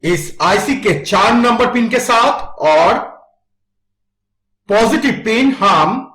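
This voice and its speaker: A man's voice: high voice, expressive, slow pace, a bit bright, reverberant. A man giving a methodical, instructional step-by-step narration for an electronics tutorial.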